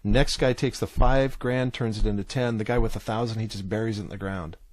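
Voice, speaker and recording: A man with a fairly low voice talks as a guest in a dry recording. He is conversational and emphatic, teaching through stories and repeating his key points. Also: steady tone, fairly quick, dry recording. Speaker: podcast guest, author and teacher. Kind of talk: conversational storytelling in an interview.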